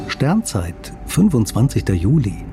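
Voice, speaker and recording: A man's voice: mid-range voice, wildly swooping pitch, measured pace, loud music behind the voice. A man reading a scripted radio commentary with a dry, ironic delivery.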